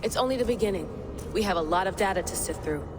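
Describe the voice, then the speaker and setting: A woman's voice: medium pitch, lively intonation, quick pace, noisy background, lightly acted. An actress playing a determined, guilt-ridden young woman in a video game cutscene. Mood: resolute.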